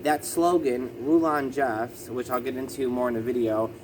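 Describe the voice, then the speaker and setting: Guy with a lisp, halting, lots of um, middle pitched, ac humming loud behind him indoors. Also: fairly even intonation, background noise. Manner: hesitant, many ums and self-corrections. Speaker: vlogger. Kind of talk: casual explanatory vlog.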